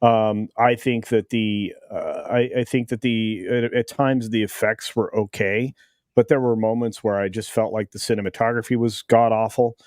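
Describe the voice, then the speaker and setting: Halting, critical man, dry sound. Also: on the low side, fairly even intonation, a little mellow, no echo. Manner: halting, repeats himself, disapproving. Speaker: film review panelist. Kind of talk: casual panel discussion.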